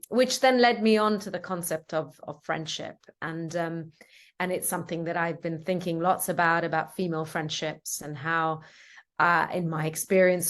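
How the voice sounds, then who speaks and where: A woman whose first language is Italian, a film director answering interview questions thoughtfully, with frequent fillers and restarts. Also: fairly low pitch. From Ticino, Switzerland.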